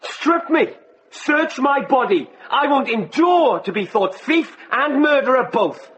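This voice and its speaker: A man's voice: very high-pitched, animated, takes it slowly, fairly bright tone, slight background noise, heavily acted, rough recording. An actor playing a proud, earnest young man accused of a crime, impassioned and aggrieved, in a radio drama. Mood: outraged. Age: young adult.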